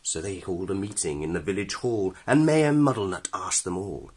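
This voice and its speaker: A man's voice: deep voice, normal speed, a little mellow, breathy edge. A man narrating a children's storybook in rhyming verse, reading expressively with a dramatic, rhythmic delivery. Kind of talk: expressive scripted read-aloud of rhyming verse.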